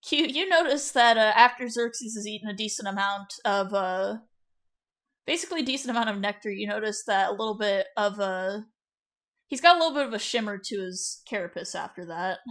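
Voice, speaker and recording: A woman's voice: medium pitch, expressive, a little fast, fairly bright tone. A woman narrating as game master in a tabletop roleplaying game, casual and loose, with fillers.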